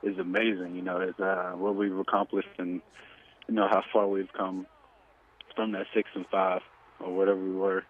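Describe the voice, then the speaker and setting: Low-voiced young man on tinny phone line. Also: level tone. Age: 20s. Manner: halting, hesitant phrasing with many pauses. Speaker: pro football player being interviewed. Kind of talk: casual phone interview. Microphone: telephone.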